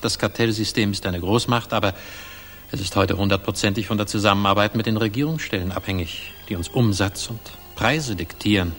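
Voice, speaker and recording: A low male voice over faint music. An actor plays a cautious captain of industry in a 1960s German radio drama, speaking formally and politely, measured in every question. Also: fairly bright tone, natural voice. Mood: earnest, guarded.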